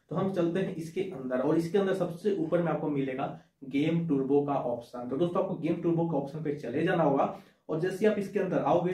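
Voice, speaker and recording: A man's voice: a little high, warm tone. A young man presenting a phone tutorial to camera, explaining step by step and repeating his points. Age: young adult. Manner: explanatory, repeats points, addresses viewers as friends. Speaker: tech tutorial host. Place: small indoor room with shelves. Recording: echoey small room.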